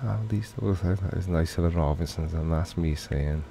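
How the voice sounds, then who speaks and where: A man's voice: low-pitched, normal speed, creaky, mellow. A middle-aged man from Wales with a Welsh accent, casually chatting and reading viewer comments aloud on a livestream. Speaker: livestream host.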